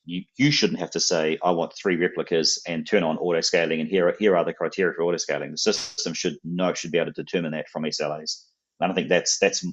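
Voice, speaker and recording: A man's voice: deep voice, slightly rough, muffled recording. A man with a New Zealand accent, a guest casually explaining technical ideas and often repeating words as he thinks.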